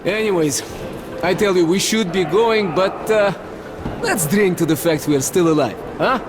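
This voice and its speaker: Animated high-voiced Russian army major. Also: male voice, moderate pace, music in the background. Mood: jovial. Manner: chatty, expressive, peppering speech with foreign exclamations. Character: boisterous, talkative Red Line army major, falsely friendly. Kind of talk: video game acting.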